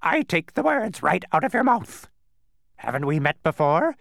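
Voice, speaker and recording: Storybook villain-pest: a filthy old bird, high shrieking, wildly swooping, haughty and gleefully pedantic. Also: male voice, very animated, moderate pace, creaky, fairly bright tone, no echo, studio quality, full character voice. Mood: gleeful cackling.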